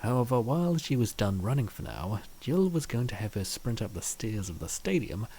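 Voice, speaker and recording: A man's voice: mid-range voice, big pitch swings, moderate pace, warm tone, a little hiss or hum. A man reading scripted story narration as the narrator of a comic dub.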